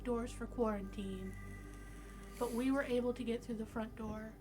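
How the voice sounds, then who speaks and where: A woman's voice: a little high, fairly even intonation, moderate pace, over music. A woman playing a tabletop roleplaying game, chatting casually in character and thinking aloud hesitantly.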